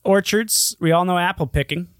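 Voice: medium pitch, moderate pace, no room sound. Casual podcast co-host with a dry, close sound. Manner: bantering, swears casually. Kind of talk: casual comedic chat.

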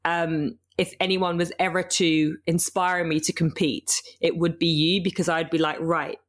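A woman hosting a podcast with a croaky voice, chatting casually with lots of ums and restarts. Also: fairly low pitch, flat delivery, moderate pace. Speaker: podcast host. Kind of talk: casual interview chat.

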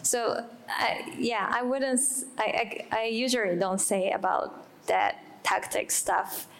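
A woman with a Japanese accent, a native Japanese speaker, speaking as a panelist in halting English while she searches for words. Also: on the high side, gravelly, fairly bright tone. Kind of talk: live panel discussion.

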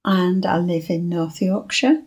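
An elderly woman speaks slowly and unhurriedly. Her voice is husky and sits a little low. She gives short answers and laughs softly between them. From Lancashire, England. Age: 80s. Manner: brief answers, soft laughs. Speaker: guest storyteller. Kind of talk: casual interview chat.

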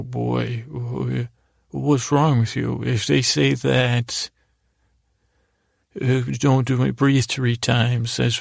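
Male podcast host, heavy vocal fry, dry recording; wandering, silly sleep-time monologue that keeps losing its thread. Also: medium pitch, dry recording. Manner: meandering, halting, trails off mid-thought; silly, mildly absurd humor. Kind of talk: rambling bedtime storytelling monologue.

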